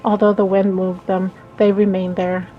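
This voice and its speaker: A woman's voice: medium pitch, flat delivery, normal speed, narrow, tinny audio, natural voice. A woman voicing a lonely, wistful female passenger in a visual novel, musing and hesitant. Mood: melancholy. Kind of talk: visual novel read-aloud.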